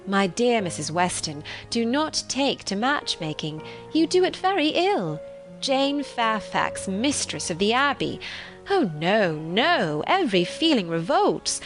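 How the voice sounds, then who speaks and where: Woman playing an emphatic, animated young gentlewoman. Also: fairly high pitch, a little slow, soft background music. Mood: indignant, protesting. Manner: emphatic, exclamatory, formal period phrasing. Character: spirited young gentlewoman, emphatic, opinionated, easily appalled.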